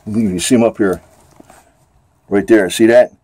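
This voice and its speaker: A man's voice: on the low side, fairly even intonation, moderate pace, background noise. An American man talking casually and off the cuff as he reviews a product, rambling and plain-spoken with some mild swearing. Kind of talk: casual unscripted product chat.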